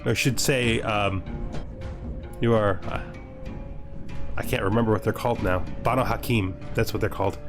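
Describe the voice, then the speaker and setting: A man's voice: fairly low pitch, steady tone, normal speed, long pauses, music in the background. A man giving casual let's-play commentary, thinking aloud in short remarks and at times reading game text out. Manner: thinks aloud in short remarks, role-playing along in the first person. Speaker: gaming video host playing and commenting.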